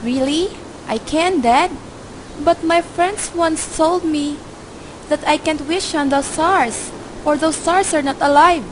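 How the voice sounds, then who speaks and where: A woman's voice: sits high, takes it slowly, noisy background. A woman playing a lonely daughter in a student radio drama, narrating earnestly in first person. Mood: curious. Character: young daughter, lonely and longing for her mother's love. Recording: amateur recording with loud music bed.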